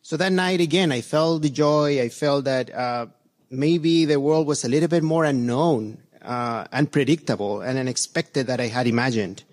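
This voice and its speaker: A middle-aged church elder from Chile speaks to his congregation in an earnest, halting way. He stops often on fillers and starts his sentences over, and his voice sits at an ordinary pitch for a man. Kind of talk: church reflection talk.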